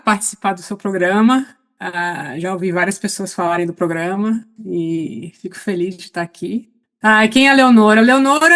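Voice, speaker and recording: A middle-aged woman with a Brazilian accent chatting casually as a guest, halting as she searches for words. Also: on the high side, fairly bright tone. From Salvador, Brazil. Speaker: podcast guest. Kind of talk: casual autobiographical chat.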